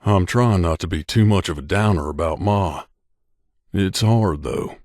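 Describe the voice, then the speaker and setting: Video game companion: devoted wasteland gunman, lowish voice, wry, familiar delivery, very dry close studio sound. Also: measured pace, darkish tone, dry recording. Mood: wistful. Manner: wry, casual, addresses boss familiarly. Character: loyal wasteland gunslinger companion man, wry, devoted to his mother.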